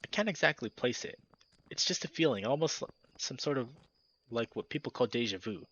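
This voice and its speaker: A man's voice: mid-range voice, animated, a little fast, fairly bright tone, dull audio. A man voicing a frank, thoughtful young anthropomorphic furry woman from a visual novel, read in a blunt, matter-of-fact way. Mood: wistful. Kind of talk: reading game dialogue aloud.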